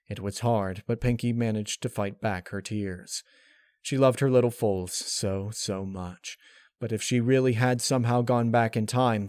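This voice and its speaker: A man reading a dark fan-fiction story aloud as narrator, in a dramatic scripted style. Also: on the low side, no room sound, clean studio sound.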